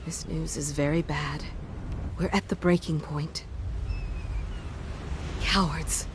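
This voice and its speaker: A regal empress in a video game drama. She speaks slowly and formally, with motherly compassion and authority. Clear background noise runs behind her. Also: low-pitched, steady tone. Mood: troubled. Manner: measured, formal and commanding. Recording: game scene with loud ambient noise.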